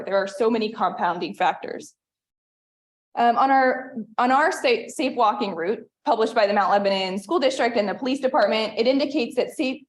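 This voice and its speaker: A woman in her thirties from western Pennsylvania, reading a prepared public comment at a town meeting in an earnest, measured way. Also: mid-range voice. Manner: earnest, measured, reads from notes. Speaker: resident giving public comment.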